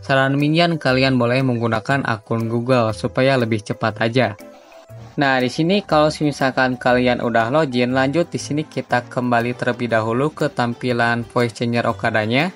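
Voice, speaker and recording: Young guy doing a chatty slangy tutorial voiceover, normal pitch, bit of music behind. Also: steady tone, normal speed. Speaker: YouTube tutorial host. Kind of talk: casual screen-recording tutorial narration. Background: background music.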